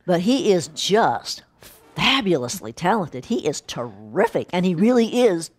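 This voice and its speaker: Middle aged lady, lowish voice, casual review chat, really leans on her words. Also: big pitch swings, unhurried, slightly rough. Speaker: film review show co-host. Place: home kitchen, indoors.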